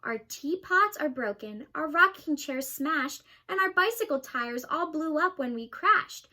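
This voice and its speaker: A tiny, anxious mayor in a storybook reading, as a woman puts him on. He pleads in a high, bright voice, urgent and worried. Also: lively intonation, unhurried, heavily acted. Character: small, worried male town mayor.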